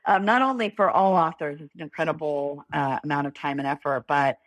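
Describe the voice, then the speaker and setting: A woman's voice: low-pitched, fairly quick, no room sound, rough recording. A middle-aged woman with an American accent, telling her story conversationally as a podcast guest. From Los Angeles, California. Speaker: podcast guest, author and lawyer. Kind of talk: conversational interview, personal storytelling.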